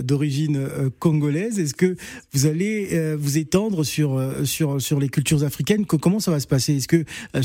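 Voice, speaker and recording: Mellow-voiced male radio host. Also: on the high side, no echo. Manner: formal, measured questioning with stammered restarts. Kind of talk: radio interview. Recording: dry radio studio.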